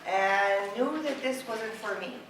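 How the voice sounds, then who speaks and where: A woman's voice: mid-range voice, slow pace. A middle-aged woman from Hawaii with a Hawaiian local accent, telling personal stories informally to an audience, unapologetic and conversational, often checking in with short tag questions. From Hawaii, United States. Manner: unapologetic, conversational, peppered with "right?" and "okay?". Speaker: speaker giving a talk. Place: university classroom. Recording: very echoey room, distant mic, clear noise.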